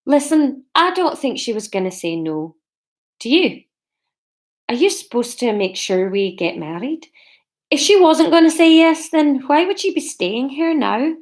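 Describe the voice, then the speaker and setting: A woman voicing an awkward, hesitant young fiancé in a story, with an attempted Scottish accent and a halting, defensive manner. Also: sits high, sing-song, pitched above the performer's own voice. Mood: uneasy, defensive. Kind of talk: audiobook-style character dialogue.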